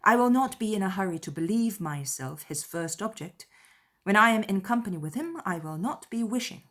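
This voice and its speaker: A woman voicing a spirited young woman in an audiobook drama, with an animated, emphatic manner, playful yet sincere. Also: medium pitch, a little fast. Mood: earnest, emphatic. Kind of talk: dramatized audiobook character dialogue.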